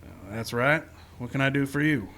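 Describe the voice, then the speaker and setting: Male actor as frontier lawman and storyteller: steady, firm, middle register, classic radio western delivery. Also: medium pitch, moderate pace, natural voice. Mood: courteous, measured. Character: steady, firm frontier marshal who also narrates. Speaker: narrator and lead actor. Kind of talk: old-time radio western acting. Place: live stage show in a park.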